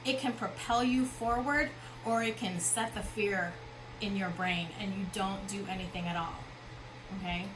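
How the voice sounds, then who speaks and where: A woman's voice: medium pitch, steady tone, normal speed. A woman from the Philippines, founder of a company, giving a motivational talk at an expo in a conversational way, often checking in with the audience. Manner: conversational, with frequent fillers and check-ins. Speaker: founder and keynote speaker. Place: expo hall. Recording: noisy expo hall.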